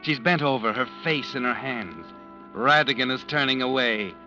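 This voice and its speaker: An actor playing a brooding crime-story narrator in old radio style, suspenseful and deliberate. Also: male voice, mid-range voice, lively intonation, bright, forceful tone, muffled recording. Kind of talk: 1940s-50s radio drama narration. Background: dramatic instrumental music.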